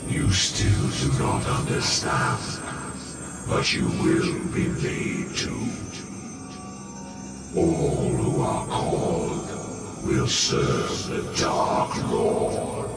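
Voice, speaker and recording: An undead wraith lord from a fantasy game, extremely deep and very slow. He threatens imperiously in a gravelly rasp. The voice booms with heavy echo. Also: heavily acted. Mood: cold command. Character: menacing undead wraith lord serving a dark lord. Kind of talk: dramatic fantasy game acting. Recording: distant, cavernous echo under loud music.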